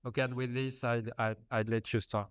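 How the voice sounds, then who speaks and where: Halting man with a flat, clear voice. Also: mid-range voice, no echo. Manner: halting, with many uhs. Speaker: session host. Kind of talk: introducing a speaker.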